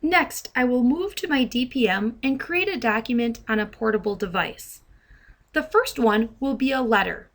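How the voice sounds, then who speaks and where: Higher-pitched woman giving a software demo. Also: expressive, normal speed. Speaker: software trainer giving a demonstration. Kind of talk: instructional screen demo narration with dictation. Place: voice-over to a screen recording.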